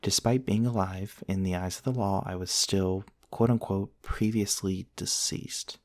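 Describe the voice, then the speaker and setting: A man playing a gentle, courteous centuries-old vampire prince in an intimate ASMR roleplay, soft-spoken and formal, with hesitant pauses. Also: deep voice, fairly even intonation, no echo, studio quality. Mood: wry, matter-of-fact. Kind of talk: intimate ASMR roleplay, storytelling to one listener.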